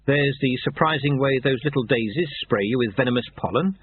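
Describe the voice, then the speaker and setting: A man's voice: medium pitch, fairly quick, a little hiss or hum, voice pushed up, lightly acted. A man voicing an anxious, hasty young male novice monk who speaks in a nervous, eager way. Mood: earnest. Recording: tinny phone-like sound.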